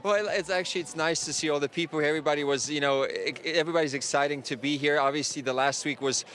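A man's voice: a little high, fast talker, a bit bright, soft background music. A man in his thirties, a former player, gives casual tennis analysis outdoors at night into a handheld mic, hesitating with fillers and restarts. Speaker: former player as remote guest. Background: faint crowd and street ambience.

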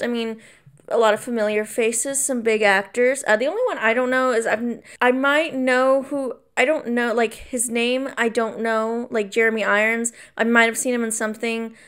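A woman's voice: on the high side, clear, resonant tone. A young woman in her twenties casually reviewing a film from her bedroom, rambling with lots of ums, asides and swearing. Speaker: film vlogger. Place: bedroom at a desk, indoors.

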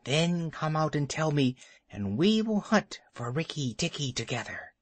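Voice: medium pitch, sing-song, unhurried, no room sound, voice pushed up. A storyteller voicing a cold, commanding female cobra who plots in an urgent, persuasive tone. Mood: conspiring.

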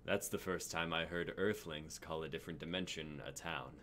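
A man in his twenties playing a huge, warm dragon-scaled doctor with a deep gruff voice and a calm, wry manner in a script reading. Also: moderate pace, a little hiss or hum, heavily acted. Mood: wry, welcoming. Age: 20s. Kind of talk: amateur dramatic script reading.

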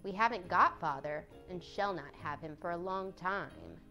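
A woman's voice: mid-range voice, big pitch swings, unhurried, pushed and bright, faint music behind. A woman voicing a blunt, bookish, tomboyish teenage girl, emphatic and defiant, in a storybook reading. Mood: sad.

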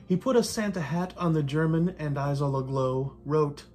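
A man's voice: a little high, a little mellow. Older man reading a rhyming storybook theatrically. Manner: theatrical, measured delivery with playful emphasis. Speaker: reader of a picture book. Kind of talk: rhymed storybook read-aloud. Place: indoors in a home living room. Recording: light room noise.

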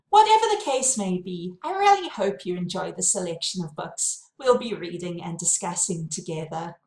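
A woman in her thirties with a South African accent, a university lecturer giving a clear, friendly welcome to her course from a home study, recorded on a webcam. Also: medium pitch, roomy sound. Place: home study with bookshelves, indoors.

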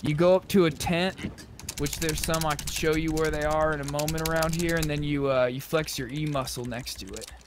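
Guy with a kinda high voice helping people out in a game, bit of background noise. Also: fairly even intonation, a little fast. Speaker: player guiding newcomers in online roleplay game. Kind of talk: casual chat while roleplaying in a video game.

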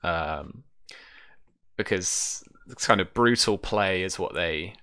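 A British man with a low, bright voice runs a tabletop game stream. He talks informally and reassuringly as he goes through the rules. The sound is close and dry. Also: takes it slowly, dry recording. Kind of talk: casual livestream chat explaining a tabletop game. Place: small room at home, on a video call.

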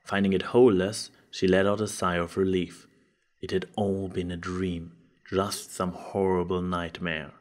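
A man's voice: low voice, level tone, measured pace. A man reading scripted fiction as the narrator of a dramatised story. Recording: music behind the voice.

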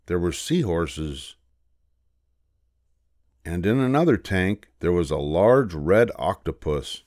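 An older man reading a children's storybook aloud as narrator, in a measured, expressive way. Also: low-pitched, dry recording, clean studio sound.

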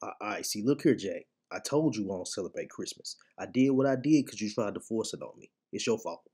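A man's voice: on the low side, animated, brisk, mellow, breathy edge. A man performing comedic animal voiceover skits in slangy, swaggering street talk.

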